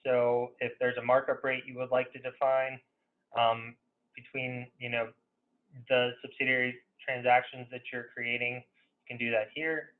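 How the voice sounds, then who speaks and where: A man's voice: mid-range voice, flat delivery, measured pace. Man presenting over a phone-like line. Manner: conversational, hesitant, peppered with "you know". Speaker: consultant presenting a software webinar. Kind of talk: informal software demo walkthrough. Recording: narrow phone-like webinar audio.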